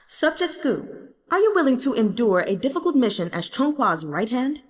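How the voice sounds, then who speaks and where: A woman's voice: fairly high pitch, sing-song, moderate pace, big-room sound. A woman voicing a proud, resolute emperor who speaks formally and imperiously in an audiobook. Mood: solemn. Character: proud, resolute young male emperor plotting bold reforms.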